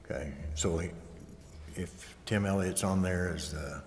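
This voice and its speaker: A man's voice: low-pitched, unhurried. A man explaining procedural rules at a public meeting. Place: indoor council chamber. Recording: reverberant chamber, faint hiss.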